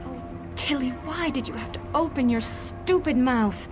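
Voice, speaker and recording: A woman's voice: high voice, unhurried, music in the background. A woman voicing an eager, boastful young female mage tinkerer in a video game, dramatic and nervously evasive. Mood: sheepish, confessing. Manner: dramatic, self-congratulating, hesitant when cornered. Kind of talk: video game character dialogue.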